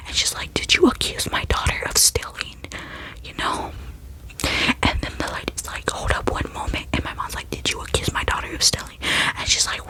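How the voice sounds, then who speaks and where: A young woman speaks in a soft, unvoiced whisper, as in an ASMR storytime. Her delivery is casual and rambling, full of "like" and "you know". The breathy whisper carries the whole narration. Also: high-pitched, low-quality recording.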